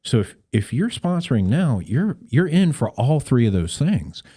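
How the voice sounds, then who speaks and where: A man's voice: medium pitch, sing-song, moderate pace, dark tone, breathy edge. A man speaking as a podcast guest and club volunteer, in plain, casual interview talk with a promotional bent.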